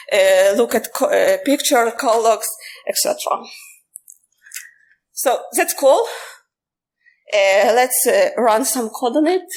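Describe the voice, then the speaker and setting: Higher-pitched woman giving a halting technical talk. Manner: halting, hesitant phrasing with frequent filler sounds. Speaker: conference speaker. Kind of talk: technical presentation.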